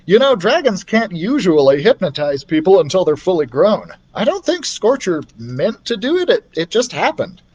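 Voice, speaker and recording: A man's voice: high-pitched, big pitch swings, normal speed, few pauses, a little mellow, breathy edge, muffled recording, pitched above the performer's own voice, exaggerated voice. A man voicing a friendly, reassuring, easygoing man in a storybook. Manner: cheerful, reassuring. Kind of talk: audiobook character voice.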